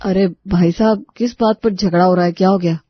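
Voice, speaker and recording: A woman's voice: fairly low pitch, steady tone, a little fast, no echo, dull audio, natural voice. Woman voicing an exasperated young family man. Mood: puzzled. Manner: exasperated, explanatory. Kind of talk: animated story dialogue.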